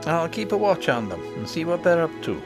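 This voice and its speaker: A man's voice: a little high, sing-song, fairly quick, over music, pitched above the performer's own voice. A man voicing a determined young miner boy in a storybook, eager and confident. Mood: eager, resolute.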